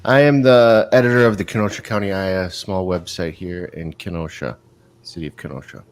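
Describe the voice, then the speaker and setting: A man's voice: deep voice, a little fast. A man chatting casually as a panelist on a podcast. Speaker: website editor, panelist. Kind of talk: casual chat. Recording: faint background noise, clicks.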